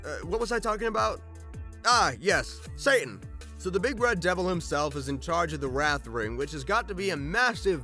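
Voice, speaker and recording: Man, high-pitched, bright forceful tone, theatrical wry narration, joking asides, music underneath. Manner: theatrical, wry storytelling delivery. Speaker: video host. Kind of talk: scripted fan-lore video narration with joking asides.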